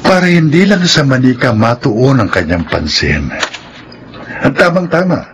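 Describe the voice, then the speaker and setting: Psychiatrist, slow and measured, reassuring, radio drama with faint noise behind. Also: male voice, mid-range voice, very animated. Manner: deliberate, reassuring. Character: reassuring, measured male psychiatrist advising clients. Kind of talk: Filipino radio drama acting.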